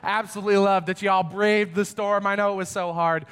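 Upbeat high-voiced man, slightly hoarse. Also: fairly even intonation, fairly quick, fairly bright tone. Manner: upbeat and welcoming. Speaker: student ministries director. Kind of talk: church announcements. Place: church sanctuary. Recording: long hall reverb.

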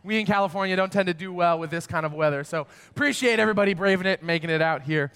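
A slightly hoarse man giving upbeat, welcoming church announcements as a student ministries director. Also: high voice, rapid-fire, fairly bright tone. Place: church sanctuary. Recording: long hall reverb.

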